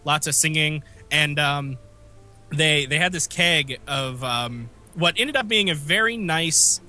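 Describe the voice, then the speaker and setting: A man co-hosting a casual podcast, telling rambling stories with a slightly phlegmy, raspy voice. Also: a little high, slow, sharp tone, soft background music. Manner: rambling, self-interrupting storyteller. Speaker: podcast co-host. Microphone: remote call mic.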